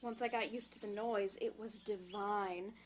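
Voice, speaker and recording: A woman's voice: medium pitch, steady tone, measured pace, close to an everyday voice. A woman playing a teasing, easygoing young houseguest in an audio drama, with a playful, conversational manner. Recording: clear background noise.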